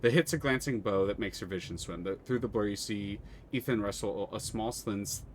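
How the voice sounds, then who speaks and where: A man's voice: lowish voice, brisk, slight background noise. A man streaming a story game, reading its text aloud and chatting casually, swearing freely.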